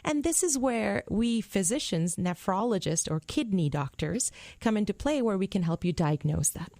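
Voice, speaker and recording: A woman's voice: mid-range voice, animated, moderate pace, darkish tone, dry recording. A woman in her thirties, a kidney doctor born in India, explaining a health topic to patients in an upbeat, simple way on a radio studio mic. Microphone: studio boom mic.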